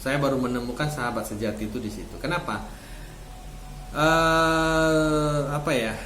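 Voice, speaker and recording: A man's voice: a little high, normal speed, a bit bright. A middle-aged man tells a personal story to camera in a casual, reflective way, often repeating phrases and tagging sentence ends. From Indonesia. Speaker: vlogger and seminar speaker. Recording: roomy echo, faint background noise.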